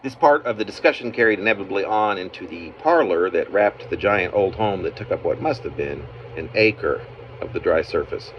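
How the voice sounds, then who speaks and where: A slightly dull home recording with faint background noise carries a man's very low voice. He reads a literary narrative of his own writing, breaking the sentences into halting pieces with pauses in unexpected places. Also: animated. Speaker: poet, author reading own work. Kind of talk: literary reading of a narrative poem. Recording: muffled, noisy amateur home recording.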